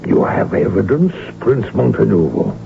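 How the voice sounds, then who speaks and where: Through a narrow, humming radio recording comes a deeply creaky old emperor. His speech is formal and guarded, with pitch that moves freely over a low base. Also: big pitch swings, heavily acted. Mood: measured, skeptical. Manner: measured, deliberate, formal. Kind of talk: radio drama acting. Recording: muffled, phone-like old radio recording with hum.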